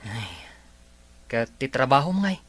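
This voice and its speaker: A man's voice: mid-range voice, sing-song, measured pace, a bit bright. A man with an Ilocano Filipino accent playing a supportive, easygoing buddy in a radio drama. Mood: friendly, obliging.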